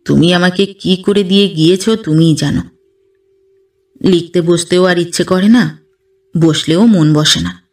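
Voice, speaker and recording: A woman's voice: on the low side, darkish tone, far from the mic. A woman voicing an emotional, self-dramatizing writer husband tormented by love, in a theatrical audio story. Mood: troubled, complaining.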